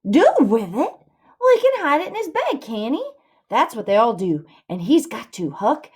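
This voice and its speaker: A woman's voice: high-pitched, very animated, normal speed, darkish tone, husky, voice pushed up, heavily acted. A woman voicing a bossy, grandiose boy schemer in a storybook reading, lecturing scornfully in rustic dialect. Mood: exasperated, scornful.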